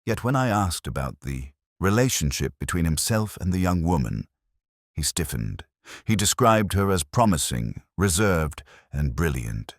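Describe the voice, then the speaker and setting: A man narrating a scripted first-person detective story as a brooding, reflective investigator, in a measured, literary manner. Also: deep voice, sing-song, no room sound, clean studio sound. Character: melancholy, reflective male police detective telling his own case.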